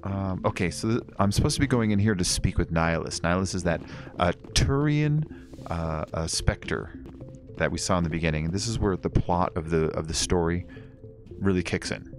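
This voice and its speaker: A young man giving relaxed, deliberately quiet commentary while streaming a game. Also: sits low. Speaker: gaming streamer. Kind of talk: casual let's-play commentary. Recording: game music in background.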